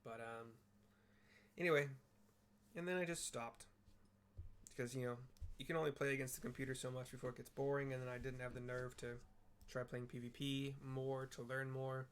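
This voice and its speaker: A man's voice: mid-range voice, little pitch movement, normal speed, slight background noise. A man chatting casually while playing and describing a game, rambling with lots of fillers and self-deprecating asides, at a home computer. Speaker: game streamer narrating for blind viewers. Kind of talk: casual gameplay chat and description.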